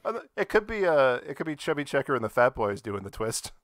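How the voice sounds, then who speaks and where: A man's voice: mid-range voice, very animated, a little fast, crowd noise. A man on a podcast panel, chatting casually and reading aloud for comedy.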